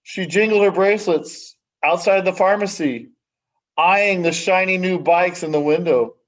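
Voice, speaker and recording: A man reading a children's story aloud expressively, with measured, dramatic phrasing and hushed sentence endings. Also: high-pitched. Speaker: story reader. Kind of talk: expressive children's picture book read-aloud.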